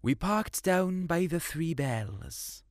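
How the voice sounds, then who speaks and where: A man acts a confident young woman in a storybook. The voice is lifted high and sounds breezy and cheeky. The recording is dry. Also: lively intonation, takes it slowly, dry recording. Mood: bold, cheeky. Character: bold, cheeky young blonde woman hiker.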